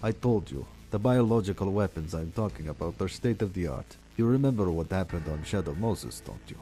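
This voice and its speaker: Calculating villain, dark low voice, lecturing, smug, radio drama, faint music behind. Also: male voice, expressive. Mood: taunting. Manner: measured, lecturing, taunting. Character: smug, calculating male villain plotting with viral weapons.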